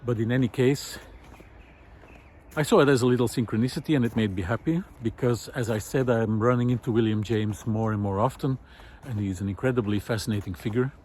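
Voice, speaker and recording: A man giving an informal, reflective solo talk in a thoughtful, halting way, pausing deliberately for emphasis. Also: mid-range voice, fairly even intonation, a little mellow, a little hiss or hum. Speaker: host of a personal audio series.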